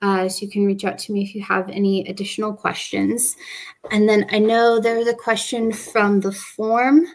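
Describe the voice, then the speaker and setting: A woman's voice: medium pitch, moderate pace, poor audio. A young woman in her twenties, a master's student, casually presenting her study program on a video call with lots of fillers and "like". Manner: relaxed, frequent fillers and "like". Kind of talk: casual presentation at an online open day.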